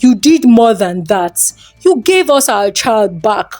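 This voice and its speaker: A grateful father in a spoken folktale, read by a woman narrator. The delivery is solemn and kindly, in a high and expressive voice. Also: slow pace, faint music behind.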